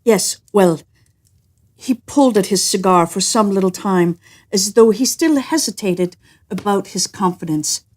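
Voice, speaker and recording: A woman telling stories in a theatrical, suspenseful and deliberate manner, as a host would. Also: mid-range voice, lively intonation. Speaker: storyteller and host. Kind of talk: animated scripted storytelling.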